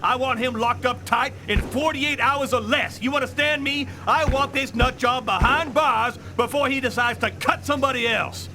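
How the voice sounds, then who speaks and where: High-strung, irate police captain. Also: male voice, normal speed, hardly any pauses, music in the background. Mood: furious. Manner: barking, sarcastic, demanding. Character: irate, blustering police captain, a man, gruff and sarcastic. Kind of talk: dramatic video game acting.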